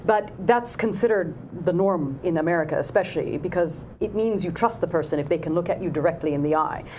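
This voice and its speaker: Woman host, lowish voice, wry, keeps saying yes to back the guest. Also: a little fast, darkish tone, a little hiss or hum. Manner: wry, asks pointed questions. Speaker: show host. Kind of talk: conversational interview.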